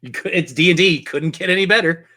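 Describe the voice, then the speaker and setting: A man's voice: a little high, fast talker, pushed and bright. A man running a tabletop role-playing game as game master, narrating with playful, theatrical turns of phrase.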